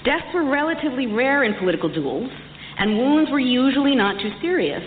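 Woman, public lecture, emphatic delivery, clear articulation, heavy room echo, some background noise. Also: high-pitched, big pitch swings, brisk. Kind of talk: prepared history lecture delivered to an audience. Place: large reverberant hall. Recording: echoey hall, muffled, some background noise.

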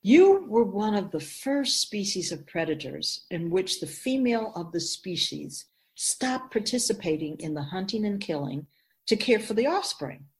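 A woman playing a wise otherworldly spirit in a radio drama, explaining things patiently in a measured, knowing way. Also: medium pitch, sing-song, darkish tone. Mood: didactic. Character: Keeper of Souls, wise otherworldly spirit in female voice.

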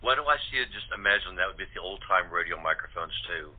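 A man's voice: low voice, expressive, quick pace. A man chatting casually as a guest from a radio-play cast. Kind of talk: casual chat. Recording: muffled narrow phone line.

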